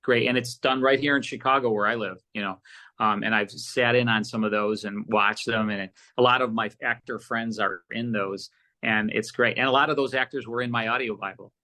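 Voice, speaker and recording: American guy on a podcast, bright punchy voice, excited, keeps repeating words while he chats. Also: medium pitch, fairly quick. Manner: enthusiastic, repeats words as he goes. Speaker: podcast guest, audio producer. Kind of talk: casual interview chat.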